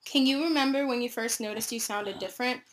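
A woman interviewer reading prepared questions in a steady, even way. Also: a little high, quick pace, over music, low-quality recording.

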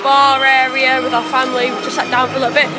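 High-voiced, slightly hoarse teen vlogger. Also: female voice, completely flat delivery, fast. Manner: chatty, enthusiastic, asks companion questions. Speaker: theme park vlogger. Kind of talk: casual vlog commentary. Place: outdoor theme park, queues and walkways. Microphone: phone camera held at arm's length. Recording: loud music and crowd noise at a theme park.

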